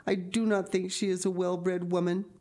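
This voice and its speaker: A woman's voice: lowish voice, level tone, moderate pace, a little mellow, pitched above the performer's own voice, close to an everyday voice. A woman voicing a dramatic, romantic young orphan girl in a storybook, earnest and tearful, with flowery old-fashioned phrasing. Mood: indignant despair. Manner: flowery, archaic, theatrical phrasing. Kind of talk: audiobook character reading.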